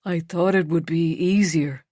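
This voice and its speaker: A woman reading an audiobook, voicing a man in his forties who calmly and apologetically leaves his partner. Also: on the low side, a little slow, dry recording, clean studio sound, pitched below the performer's own voice. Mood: apologetic.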